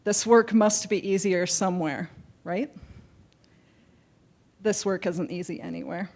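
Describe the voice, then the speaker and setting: A woman panelist speaking with a slightly hoarse voice into a handheld mic, telling a story in an earnest, deliberate way on a conference stage. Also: on the low side, darkish tone.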